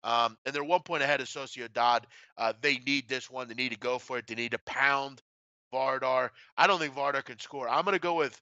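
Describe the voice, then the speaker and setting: Man, betting show host, forceful bright tone, opinionated, colloquial, close dry lo-fi sound. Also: medium pitch, fairly quick, dry recording. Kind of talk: casual betting tips discussion.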